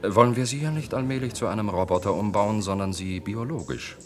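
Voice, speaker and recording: Actor as a deep-voiced, coldly courteous doctor. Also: male voice, fast, a little mellow, close to an everyday voice. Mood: persuasive. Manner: polite, condescending, threatening. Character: coldly courteous, menacing male clinic doctor. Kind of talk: German radio play acting.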